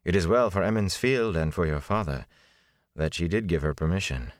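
A man voicing a composed, weary sorceress who speaks in a measured way. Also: sits low, lively intonation, no room sound, studio quality. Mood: grave. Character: calm, weary female sorceress.

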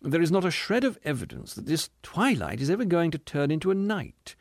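A man voicing a fat, smug, cultured gentleman from a storybook, speaking in a pompous, refined and condescending way. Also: on the high side, sing-song, fairly quick, creaky, no room sound, clean studio sound, voice pushed up, full character voice.

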